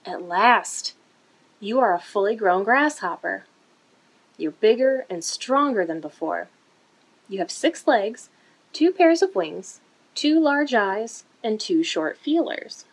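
A woman reading a children's picture book aloud in an expressive, deliberate way for young listeners, recorded indoors. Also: mid-range voice, breathy edge, rough recording. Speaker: children's story-time reader for a museum. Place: indoor room.

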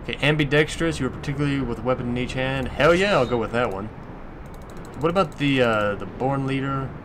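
A man's voice: medium pitch, a little fast, background noise. Casual man musing through a game. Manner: crude swearing, muses aloud. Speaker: let's play commentator. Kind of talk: casual gameplay commentary.

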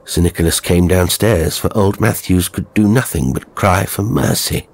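A man narrating a horror story in a measured, grave, literary storytelling style. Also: low voice, gravelly, a little mellow. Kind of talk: scripted dramatic storytelling narration.

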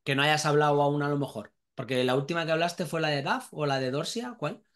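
Higher-pitched man from Spain, chatting. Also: a little fast, fairly bright tone. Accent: Spanish (Spain). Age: middle-aged. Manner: informal, chatty. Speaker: podcast host. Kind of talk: casual interview chat. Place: small home room, indoors. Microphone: video call.

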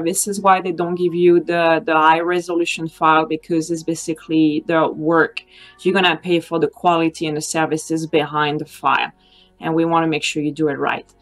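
French-accented woman, slightly low voice. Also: fairly bright tone. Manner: conversational, earnest, non-native English phrasing. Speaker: wedding photographer. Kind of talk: informal advice vlog to camera. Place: small home office, indoors.

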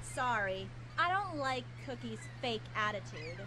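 A woman's voice: fairly high pitch, very animated, measured pace, bright, forceful tone, heavily acted. A young woman acting a sarcastic, quarrelsome reality show rival in a student radio play. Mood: sarcastic, hostile. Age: young adult. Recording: live radio studio, faint noise.

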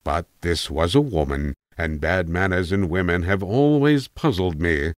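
A man's voice: sits low, animated, measured pace, no echo, clean studio sound. A man playing a rambling, self-assured old tramp in a storybook, talking in a formal, pompous, digressive way. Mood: pompous, indignant. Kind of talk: storybook character monologue.

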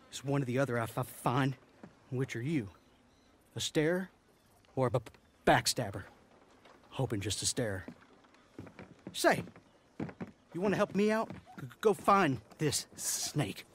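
A jittery western outlaw, voiced by a man who stammers through nearly every word, bitter and suspicious. His voice sits at a mid pitch with faint noise behind it. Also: natural voice. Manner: heavy stutter. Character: nervous stammering male outlaw, petty and bitter.

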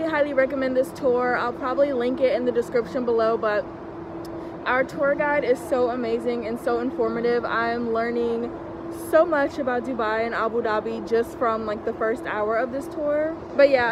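A woman's voice: fairly high pitch, noisy background. A young woman talking casually to the camera on a solo trip. She is chatty and enthusiastic, with a lot of ums and likes. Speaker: travel vlogger. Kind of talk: casual travel vlog to camera. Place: indoors in a hotel room and a large mall, phone held close. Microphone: handheld camera at arm's length.

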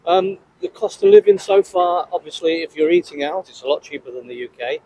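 Man, 40s, mellow, matter-of-fact. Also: a little high, expressive, brisk, slight background noise, rough recording. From United Kingdom. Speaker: interviewee, sales manager. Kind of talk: street interview answers. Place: outdoor city street. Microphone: handheld mic held toward him.

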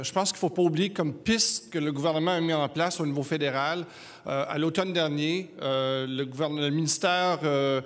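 A middle-aged man from the audience speaking earnestly into a standing microphone at a debate, with many filler pauses. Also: on the high side. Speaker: audience member asking a question. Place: large studio hall with audience. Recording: long reverb of a large hall.

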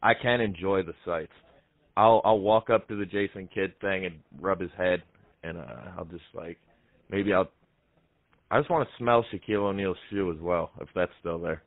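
A young man speaks in a low voice with a slightly dulled, dry sound. He rambles earnestly, as a prank caller improvising on the phone. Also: normal speed, no echo, phone-quality sound. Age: young adult. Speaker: prank caller on a comedy show.

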